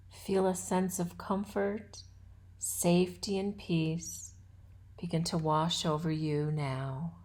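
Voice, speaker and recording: A woman leads a guided meditation in a fairly low voice. She speaks very slowly, giving relaxation instructions in short, deliberate phrases with a soothing manner. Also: darkish tone. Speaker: yoga nidra instructor.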